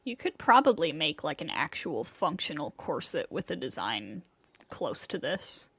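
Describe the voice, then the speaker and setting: A woman's voice: low voice, very animated, measured pace, a bit bright, husky, no room sound. A woman livestreaming a game, reacting casually in informal, short interjections. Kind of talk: casual reactions while playing.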